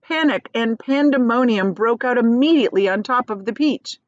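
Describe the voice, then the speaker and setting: A woman's voice: a little high, moderate pace, muffled recording. A woman reading a children's book aloud as a teacher would, expressively, adding explanations for her listeners.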